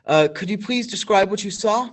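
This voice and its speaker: A man's voice: high voice, moderate pace. A man playing a flustered news reporter in a stage radio play, stammering through formal newsreader phrasing.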